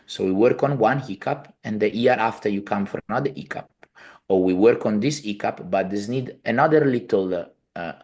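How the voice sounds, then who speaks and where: A man in his thirties with an Italian accent, explaining his healing practice conversationally as an interview guest on a video call. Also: on the low side. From Italy. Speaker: podcast guest, healer, family constellations practitioner. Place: living room at home, indoors, video call.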